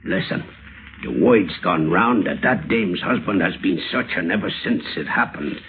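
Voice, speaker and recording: Rough slangy crook, scratchy old radio. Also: male voice, sits low, animated, normal speed, phone-quality sound. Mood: nervous, confiding. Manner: rough slangy uneducated speech with dropped grammar. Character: uneducated crooked drifter, boastful drunk turning terrified. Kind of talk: old-time radio thriller acting.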